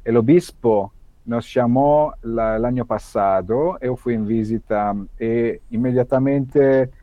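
A man with an Italian accent speaking in halting, hesitant Spanish, full of fillers and slips into Portuguese and Italian. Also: medium pitch, dry recording, highs cut off. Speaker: guest, mission radio project manager.

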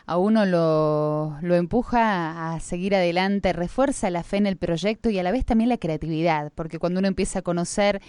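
A woman's voice: lowish voice, normal speed, hardly any pauses, darkish tone, clear, resonant tone, no echo, studio quality. A woman with an Argentine accent hosting a live radio interview, speaking in long, reflective phrases with many diminutives. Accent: Argentine Spanish. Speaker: radio host.

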